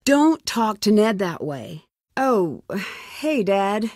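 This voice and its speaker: A woman's voice: medium pitch, very animated, takes it slowly, darkish tone, no echo, full character voice. A woman voicing a spirited young female amateur detective in a video game. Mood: firm, bright.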